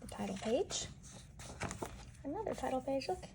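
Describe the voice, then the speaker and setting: A woman with a somewhat high voice reads a children's picture book aloud. She speaks as if to small listeners, adding explanations and questions. There is audible noise in the recording. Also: very animated, moderate pace, gravelly. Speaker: children's read-aloud storyteller.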